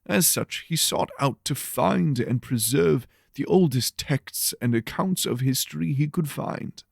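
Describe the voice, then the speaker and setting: A man voicing a grave, scholarly church rector who speaks formally and deliberately in an audio drama. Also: on the high side, lively intonation, gravelly, no room sound, clean studio sound, pitched above the performer's own voice, exaggerated voice. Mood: solemn, explanatory.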